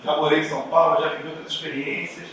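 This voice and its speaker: A man's voice: fairly high pitch, little pitch movement, fast, fairly bright tone. A man from Rio de Janeiro with a Carioca accent gives an informal, reflective conference talk, telling his story with conversational asides to the audience. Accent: Carioca Brazilian Portuguese. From Rio de Janeiro, Brazil. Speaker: conference speaker, designer. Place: large echoey indoor event room. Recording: very echoey hall, distant mic, faint noise.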